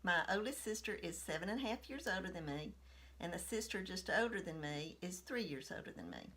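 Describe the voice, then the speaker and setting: A woman in her sixties shares a story from her own childhood. Her pitch sits in a middle range for a woman. She takes her time and speaks in a reminiscing, personal way. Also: fairly bright tone. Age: 60s.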